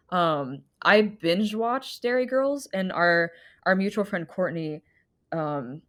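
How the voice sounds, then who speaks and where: A woman co-hosting a podcast, telling a rambling story in casual chat with frequent giggles and fillers. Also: fairly low pitch. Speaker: podcast co-host.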